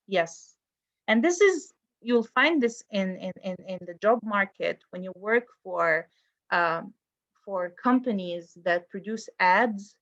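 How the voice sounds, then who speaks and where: A woman's voice: mid-range voice, fairly bright tone. Woman lecturing over a muffled video call. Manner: conversational, quizzes students often. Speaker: university lecturer. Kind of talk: online lecture.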